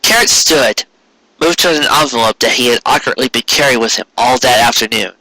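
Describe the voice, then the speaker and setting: Amateur male audiobook narrator: mid-pitched, sharply bright voice, scripted storytelling. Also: fairly quick, no echo, poor audio.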